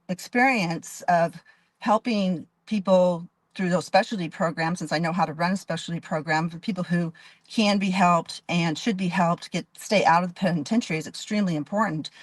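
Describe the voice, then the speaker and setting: A woman in her fifties with a fairly low voice speaks earnestly as a judge in an interview. She lays out her experience in long, detailed answers and often restarts her sentences. The sound is close and dry. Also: moderate pace, dry recording. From Dallas, Texas. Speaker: judge and candidate.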